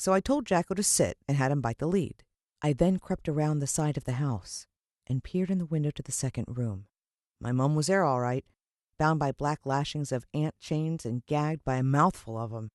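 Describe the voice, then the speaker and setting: Female American narrator for children's fiction: expressive, suspenseful storyteller, dry sound. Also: low-pitched, normal speed, no echo. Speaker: audiobook narrator.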